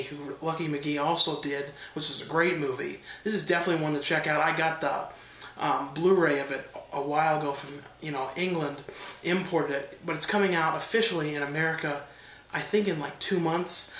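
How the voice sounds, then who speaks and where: A man's voice: on the high side, fairly even intonation, fairly quick, fairly bright tone, roomy sound. A man with a stuffed-up nose from allergies giving a loose, rambling casual review, with many fillers and restarts. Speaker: horror film reviewer.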